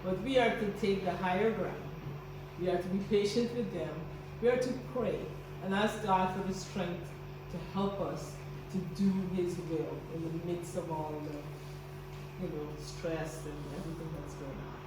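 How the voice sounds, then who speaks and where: A woman's voice: lowish voice, measured pace. A woman from Guyana giving a staff training talk with faith themes, earnest and encouraging, often restarting her sentences. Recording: very echoey, distant, noisy room recording.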